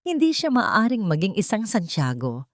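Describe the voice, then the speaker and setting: A woman's voice: mid-range voice, wildly swooping pitch, moderate pace, dry recording, studio quality, voice pushed up. A haughty young heiress, acted by a woman narrator, cold and scornful. She speaks emphatically with a cutting edge, in the manner of a melodramatic audio drama villainess. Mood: cold, insistent.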